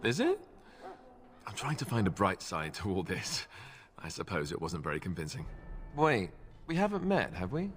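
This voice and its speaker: A wry young male recruit from a fantasy video game. His voice sits slightly low. He talks with glib, joking sarcasm, as a junior warrior would to a new comrade. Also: huge pitch swings, normal speed, pauses often, a little hiss or hum. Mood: sheepish, friendly.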